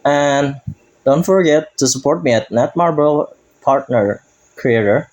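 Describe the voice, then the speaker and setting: A man casually walking through a game update as a gaming YouTuber, talking in halting English and searching for his words. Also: mid-range voice, a little mellow, low-quality recording.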